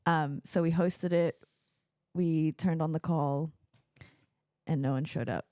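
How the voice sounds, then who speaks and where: A woman's voice: low-pitched, steady tone, slow, mellow, no echo, studio quality. A young woman co-hosting a podcast, telling stories in casual, bubbly chat. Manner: chatty, bubbly storyteller with laughs. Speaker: podcast co-host.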